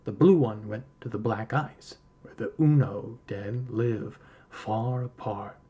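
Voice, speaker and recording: A man reading a literary story aloud as a narrator, in a measured, solemn storytelling manner. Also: lowish voice, dark tone. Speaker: podcast host reading a short story aloud.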